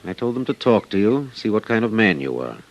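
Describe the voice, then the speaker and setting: Cautious small-town editor, American, plain-spoken, slightly low voice, very varied pitch, old-time radio drama. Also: male voice, big pitch swings, brisk, muffled recording. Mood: earnest. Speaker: supporting radio actor.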